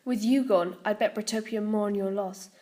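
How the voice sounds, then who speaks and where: A woman's voice: medium pitch, steady tone, moderate pace, warm tone, natural voice. A woman voicing an evil octopus queen in an audiobook story, with sinister, mocking, theatrical gloating.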